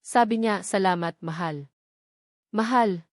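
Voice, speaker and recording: A woman's voice: medium pitch, slow, dry recording, studio quality, lightly acted. A woman with a Filipino accent voicing the tender, confiding diary of a lovestruck, insecure young woman in an audio drama. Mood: giddy, affectionate.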